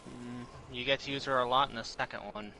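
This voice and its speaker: A man's voice: mid-range voice, level tone, quick pace, background noise. A man chatting casually on a headset as a co-op partner during a game stream. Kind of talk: casual chat. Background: game music.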